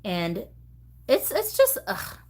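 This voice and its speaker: A middle-aged woman from the US East Coast hosting a casual vlog, talking to viewers in an expressive, slangy, chatty way. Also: mid-range voice, takes it slowly. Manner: animated, slangy, expressive, addresses viewers directly. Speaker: host of a doll collecting channel.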